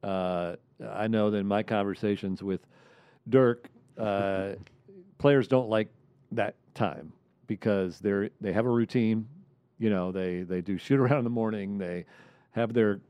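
Low, mellow male sports host. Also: measured pace, smooth, dry recording. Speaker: host of a sports media roundtable. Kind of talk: conversational sports discussion.

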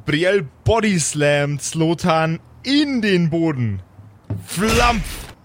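A man's voice: a little high, very animated, extremely slow, slight background noise. A man acting as game master, narrating an improvised tabletop game and calling for checks.

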